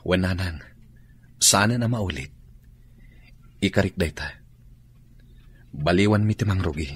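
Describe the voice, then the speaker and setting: A man's voice: low-pitched, measured pace, slightly rough, exaggerated voice. A man playing a guilty, earnest husband and father in an Ilocano radio drama. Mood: earnest promising.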